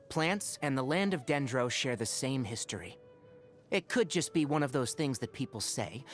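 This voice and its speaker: A man's voice: medium pitch, lightly acted. A man voicing an earnest, wry young forest ranger scholar in a video game, speaking in an articulate, thoughtful, caring way. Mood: gentle, reflective. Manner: articulate, thoughtful, dryly opinionated. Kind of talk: scripted game character monologue.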